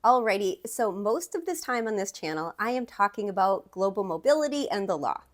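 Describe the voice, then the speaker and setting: A woman's voice: medium pitch, sing-song, fairly quick. A woman in her thirties with a Wisconsin accent, an immigration attorney talking straight to camera in a chatty, upbeat way, often restarting with "okay" and "um.". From United States. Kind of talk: direct-to-camera talk.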